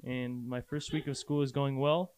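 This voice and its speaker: Young male student from Connecticut, clean smooth voice, level intonation, casual introduction. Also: medium pitch, steady tone, normal speed, a little mellow, no room sound. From Connecticut, USA. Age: young adult. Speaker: student interviewee.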